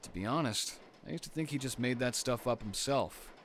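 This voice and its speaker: A man playing a caring, gently teasing young boyfriend in an intimate roleplay audio drama, reassuring his partner with sighs and soft talk. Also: mid-range voice, brisk, slight background noise, lightly acted. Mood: teasing, reminiscing.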